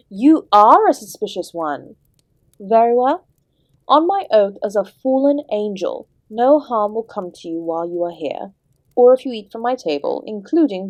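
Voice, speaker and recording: A woman acting as the Devil herself, an ancient and charming Princess of Darkness in an audio drama. She speaks at a medium pitch with genteel, theatrical courtesy and a sardonic, teasing edge. The sound is dry and intimate, addressed straight to one listener. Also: a little mellow, dry recording, close to an everyday voice. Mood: teasing, reassuring. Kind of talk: scripted audio roleplay, addressing the listener directly.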